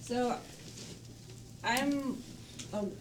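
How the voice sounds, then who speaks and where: A woman's voice: a little high, extremely slow. A woman chatting casually and candidly about herself. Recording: slightly distant mic, faint background noise.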